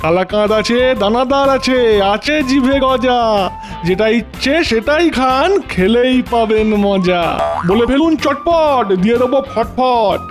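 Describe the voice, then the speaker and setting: An eager cartoon shopkeeper in an extremely high, swooping voice. The boastful patter comes in unhurried sing-song rhymes over music. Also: male voice, lively intonation. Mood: eager, coaxing. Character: eager, boastful sweet-shop keeper. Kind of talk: cartoon voice acting. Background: music behind the voice.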